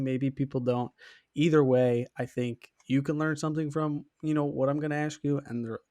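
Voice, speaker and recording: A man's voice: medium pitch, darkish tone, no room sound, clean studio sound. A young man hosting a podcast in a casual, chummy, slangy interview style. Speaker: podcast host.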